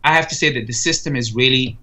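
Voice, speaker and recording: Egyptian male guest, bright tone, earnest, halting. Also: medium pitch. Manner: earnest, halting, many fillers. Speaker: studio guest. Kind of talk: interview answers.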